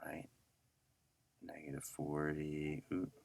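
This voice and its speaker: A man's voice: rumbling low, monotone, slow pace, gravelly, darkish tone. A man giving a casual audio editing tutorial, informally muttering to himself as he works. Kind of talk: casual screen-recorded software tutorial.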